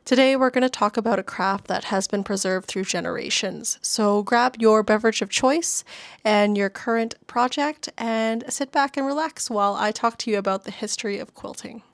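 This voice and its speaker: A young adult woman hosting a relaxed craft podcast, telling history in a soft, chatty storytelling way with casual asides. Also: on the high side, normal speed, dry recording. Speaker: podcast host.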